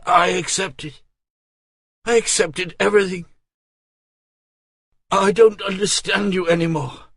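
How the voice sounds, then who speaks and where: A man's voice: high voice, steady tone, slow, vocal fry, pauses often, a bit bright, breathy edge, no room sound, studio quality, voice pushed up, full character voice. A man reading aloud voices a frail, desperate young imprisoned queen, pleading in a raised, breathless voice.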